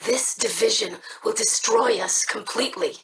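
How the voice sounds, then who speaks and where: An actress playing an earnest, formal young alien scribe in a video game. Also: female voice, fairly high pitch, moderate pace, recorded from a distance, a little hiss or hum, lightly acted, rough recording.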